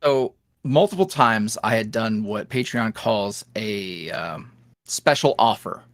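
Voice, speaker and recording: A man talking candidly as an interview guest about his work as an audio creator, with quick self-deprecating asides. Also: on the low side, expressive, no echo, clean studio sound. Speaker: guest, ASMR roleplay creator. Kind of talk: casual interview chat.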